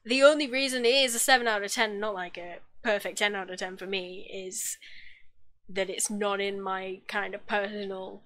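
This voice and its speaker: A woman's voice: mid-range voice, steady tone, moderate pace, fairly bright tone. A young woman, chatting casually and gushingly as she reacts on camera from a small room at home. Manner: chatty, gushing, self-correcting restarts. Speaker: YouTube reaction host. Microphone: desk microphone, wearing headphones.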